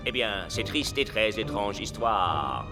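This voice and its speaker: Grave, theatrical coach with swooping delivery. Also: male voice, lowish voice, animated, music in the background. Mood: ominous. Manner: grave, drawn-out, ominous storytelling. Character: theatrical male coach of a spooky castle stadium. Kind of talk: French cartoon dubbing.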